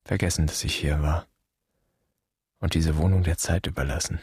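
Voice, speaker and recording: Low, husky brooding son. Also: male voice, fairly even intonation, moderate pace, a little mellow, dry recording, studio quality, heavily acted. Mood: wistful. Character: brooding, wounded grown son addressing his father's generation. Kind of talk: literary radio play monologue, poetic and measured.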